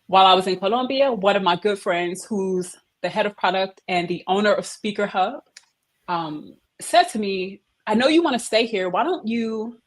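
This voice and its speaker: A middle-aged woman, a guest on a video-call interview, telling her career story in a lively, emphatic way. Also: mid-range voice. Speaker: head of operations, interview guest. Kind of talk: casual interview conversation.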